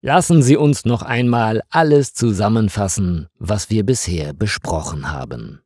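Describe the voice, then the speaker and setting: Male architect, acted by a male narrator, storybook, formal, courteous, animated intonation, slightly husky. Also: lowish voice, measured pace, no echo, studio quality. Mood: polite, businesslike. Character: polite, businesslike male architect greeting a client.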